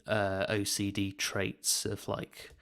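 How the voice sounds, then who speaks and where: A man with a low voice and very little rise and fall in pitch. He talks as a podcast co-host, explaining casually and qualifying what he says as he goes. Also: monotone, slow pace, vocal fry, fairly bright tone, clear, resonant tone.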